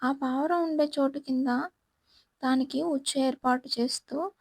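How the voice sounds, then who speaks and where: A woman's voice: high-pitched, fairly even intonation, a little slow, darkish tone, no room sound. A woman storyteller reading a scripted children's moral tale as narrator.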